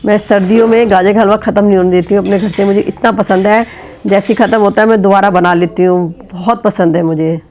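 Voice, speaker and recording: A woman's voice: mid-range voice, steady tone, fast, darkish tone, a little hiss or hum. A middle-aged woman vlogging at home with a sore throat and fever, chatting about her cooking as she works in the kitchen. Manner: chatty, explaining chores as she goes. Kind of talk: casual home vlog narration.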